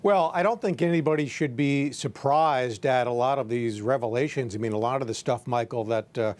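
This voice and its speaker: A man's voice: mid-range voice, normal speed, darkish tone. A man giving political commentary as a correspondent on a news panel, emphatic and pointed in his phrasing.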